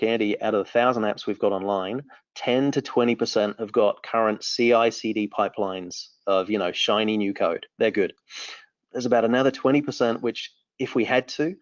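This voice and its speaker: A New Zealand man in his forties talks with eager energy, often restarting his sentences. His voice sits fairly low. The audio is dry and a little dull, as if captured on a modest mic. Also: no room sound. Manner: enthusiastic, rambling with frequent restarts. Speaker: company founder. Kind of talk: remote interview answers.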